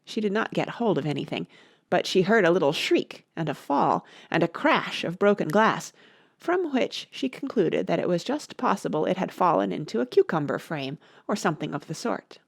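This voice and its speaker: A woman's voice: on the low side, lively intonation, moderate pace, creaky, no echo, studio quality. A woman narrating a children's storybook expressively as an audiobook reader.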